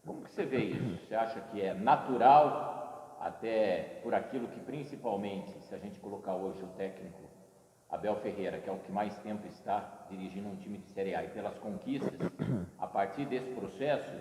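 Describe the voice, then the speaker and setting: A man's voice: lowish voice, moderate pace, warm tone. A man with a Brazilian accent hosting an interview, asking long rambling questions. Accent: Brazilian Portuguese. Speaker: interviewer, channel host. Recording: very echoey room with long reverb.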